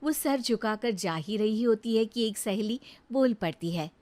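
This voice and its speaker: A woman giving scripted storytelling narration for an animated moral story. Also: fairly high pitch, unhurried. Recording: music under the voice.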